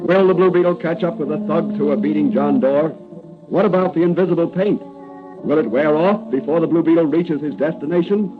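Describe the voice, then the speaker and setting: An actor playing a suspense-building announcer in an old-time radio serial, giving dramatic, declamatory scripted narration. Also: male voice, on the high side, a little fast, natural voice. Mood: suspenseful. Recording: tinny vintage broadcast with music behind. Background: music.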